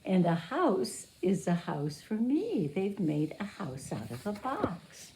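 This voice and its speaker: A woman teacher reading a picture book aloud to young children, pausing to explain and repeat words simply. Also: fairly low pitch, very animated, noisy background.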